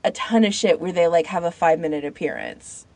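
A woman's voice: lowish voice, huge pitch swings, a little fast, a little mellow, no echo. A woman co-hosting a podcast in casual chat, informal and opinionated, often peppering her sentences with "like". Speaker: podcast co-host.

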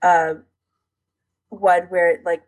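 A woman podcast co-host with a husky, slightly rough voice. She talks in a casual, informal way with lots of "like", as in a chat between hobbyists. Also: fairly low pitch, level tone, very slow. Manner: informal, frequent "like" as filler.

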